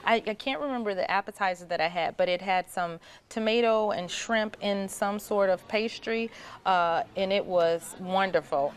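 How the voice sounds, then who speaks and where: A woman's voice: medium pitch, normal speed, smooth. A woman guest on a restaurant review panel, telling her visit as a story with many fillers. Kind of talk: casual chat.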